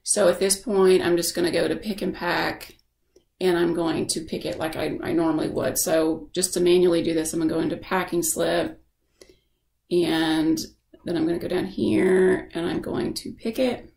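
A woman giving a casual software tutorial as a screen-share voice-over, explaining informally and thinking aloud. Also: on the low side, fairly even intonation, moderate pace, vocal fry, reverberant. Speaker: software instructor.

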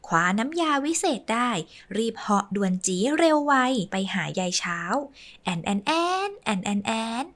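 A woman's voice: medium pitch, normal speed. Sing-song woman telling a kids' story. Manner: sing-song, lively, with sound effects. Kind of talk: rhythmic rhyming children's story narration.

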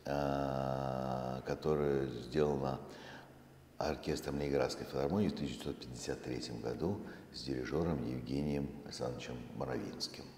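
Very deep-voiced man lecturing in echoey hall. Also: fairly even intonation, mellow. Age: middle-aged. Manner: measured, deliberate, with pauses mid-phrase. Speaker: museum director presenting a project. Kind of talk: public lecture to an audience. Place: large concert hall stage, indoors. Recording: echoey hall, faint background noise.

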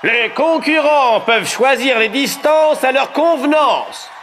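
Cartoon tournament announcer, very high voice, slow loud proclamations, noisy soundtrack. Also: male voice, exaggerated voice. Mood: proclaiming. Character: male tournament announcer calling out archery rules. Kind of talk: shouted ceremonial announcement in animated film dub.

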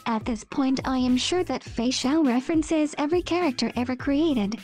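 High-voiced woman reading memes, mellow tone. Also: moderate pace. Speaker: meme video host and narrator. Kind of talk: scripted meme narration, reading captions and acting out lines. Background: background music.

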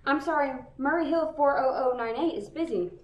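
A young woman playing a calm, polite telephone operator in a school radio play. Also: high-pitched, a little fast, background noise, close to an everyday voice. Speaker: student actor. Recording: dull, muffled recording.

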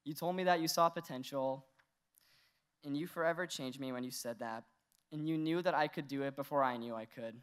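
A man's voice: medium pitch, fairly quick, fairly bright tone, smooth. A teenage man from Iran giving a valedictorian speech from a podium mic on a large indoor stage, earnest with touches of humor. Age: teens. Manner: earnest, with light humor and laughs. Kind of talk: graduation speech.

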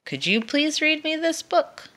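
An eager young boy dragon from a picture book, voiced by a woman reading aloud. He speaks in a bright, swooping voice, polite and friendly, pleading with emphasis and taking his time. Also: high-pitched, big pitch swings, unhurried, pitched above the performer's own voice, exaggerated voice.

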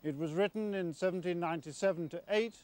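A man in his fifties with an English accent presenting a documentary in an articulate, scholarly, measured way. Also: sits high, a little mellow.

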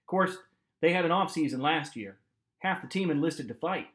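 A man's voice: a little high, expressive, normal speed, a bit bright. Guy reading as young new york army kid, brash and teasing, punchy delivery, storybook style. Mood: confident. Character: tall, brash seventeen-year-old American soldier, baseball fan.

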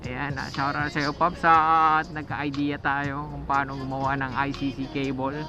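A man with a Filipino accent chats casually to his viewers, halting often with pauses and fillers, recorded through a motorcycle intercom mic. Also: medium pitch, little pitch movement, highs cut off. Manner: halting, hesitant delivery with frequent pauses and fillers. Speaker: motovlogger. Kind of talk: casual vlog talk to viewers. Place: outdoors on a motorcycle. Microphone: DIY cable from motorcycle intercom to action camera. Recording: band-limited intercom mic, loud music behind. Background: music, vehicle noise.

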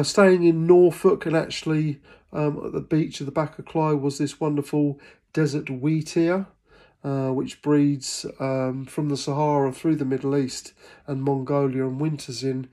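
A man's voice: medium pitch, warm tone. A middle-aged man from England narrating his birding vlog as a casual voice-over, enthusiastic and hesitant, with many ums and uhs. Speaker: birding vlogger.

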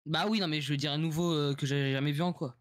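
A man's voice: on the high side, steady tone, quick pace. A man speaking casually on a voice chat as game server staff.

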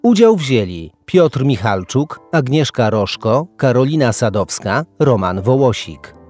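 A man's voice: mid-range voice, big pitch swings, a little slow, a little mellow, faint music behind. A man working as an announcer, reading scripted credits.

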